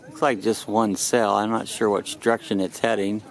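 Gravelly older man filming outdoors. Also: lowish voice. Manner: relaxed, rambling, with frequent "uh" pauses. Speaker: vlogger filming at a model airfield. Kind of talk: casual narration while filming. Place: outdoors at a grassy flying field.

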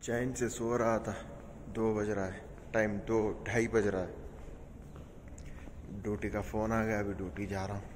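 A man's voice: on the low side, flat delivery, measured pace, pauses often. A man from India, a house driver, chatting casually to viewers as he vlogs his day while driving around the city. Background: faint traffic and street noise.

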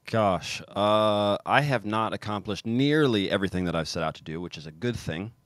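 Podcast guest: low American man in his thirties, lively, filler-heavy, dry studio mic. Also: moderate pace, dry recording. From Kansas City, USA. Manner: energetic, many fillers and restarts. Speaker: guest, pro soccer player. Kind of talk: casual podcast interview. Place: home room with football shirts on wall. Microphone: studio mic on boom arm, video call.

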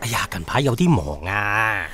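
A man's voice: lowish voice, big pitch swings, moderate pace, crowd noise. An actor playing a smarmy, two-faced male showbiz agent, oily and hesitant, in a comic radio drama. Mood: oily, flattering.

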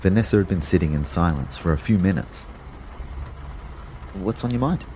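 An actor playing a reflective, concerned young man, telling his story in a measured, understated way in an audio drama. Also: deep voice, animated, a little mellow, slight background noise. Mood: concerned, hesitant. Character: reflective, concerned young boyfriend, a fitness fanatic. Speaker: narrator and lead character. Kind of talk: first-person audio drama narration and dialogue.

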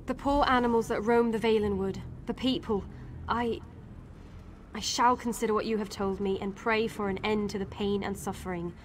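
A woman's voice: mid-range voice, steady tone, moderate pace, slight background noise, natural voice. A woman playing a genteel, pitying female elf elder in a fantasy video game, with a dramatic manner. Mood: distressed.

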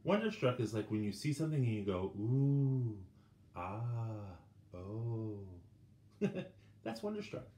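A middle-aged man reading a children's picture book aloud with dramatic pauses, turning to the listeners with questions. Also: medium pitch, big pitch swings, mellow. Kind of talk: expressive children's storybook read-aloud. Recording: roomy indoor sound with faint background noise.

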